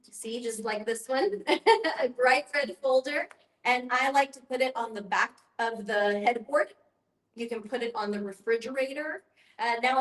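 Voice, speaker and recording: A woman explaining things step by step as an educator leading a group discussion, in an instructive way. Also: fairly high pitch. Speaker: end-of-life educator and guest facilitator. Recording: roomy, echoing sound.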